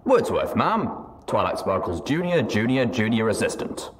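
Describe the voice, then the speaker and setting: A man's voice: lowish voice, sing-song, normal speed, a little hiss or hum. Man voicing a swooping, urbane robot owl butler. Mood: courteous, formal. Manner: courteous butler-like formality, fussily precise. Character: polite urbane mechanical owl assistant, gentle male, formal.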